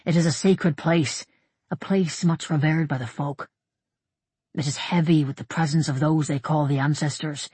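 Storybook monk, male voiced by a narrator: soft-spoken, grave and reassuring, quietly sure of himself, dry close recording. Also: mid-range voice, husky, no echo, natural voice. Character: gentle, probing monk, grave and quietly certain man. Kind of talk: audiobook character dialogue.

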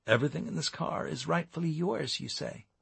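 A man's voice: a little high, animated, fairly quick, creaky, fairly bright tone, slightly rough, dry recording, voice pushed up, exaggerated voice. A man voicing a stern, suspicious police officer confronting a suspect in an audiobook, commanding and sceptical.

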